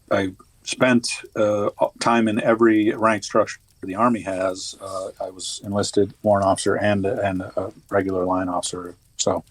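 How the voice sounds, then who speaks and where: Soft-spoken older man, halting pace. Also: fairly low pitch, no room sound, poor audio. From United States. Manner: hesitant, many restarts and fillers. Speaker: podcast guest, retired Army officer. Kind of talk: casual interview conversation.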